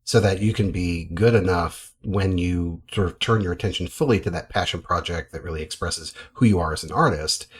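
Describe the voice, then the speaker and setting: An American man with a low, husky voice hosts a podcast. He talks in a casual, conversational way, putting questions and thoughts to a guest. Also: fairly quick, hardly any pauses. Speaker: podcast host.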